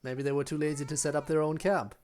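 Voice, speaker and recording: A woman's voice: sits low, level tone, fast, darkish tone. A woman streaming a video game, giving casual, offhand commentary as if half talking to herself while she plays. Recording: game music behind the voice. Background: video game music.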